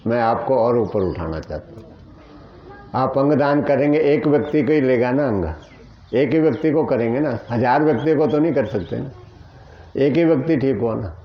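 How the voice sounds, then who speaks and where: Guy teaching natural healing, earnest, explains stuff plainly, middle pitch, kinda muffled recording. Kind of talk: teaching talk answering questions.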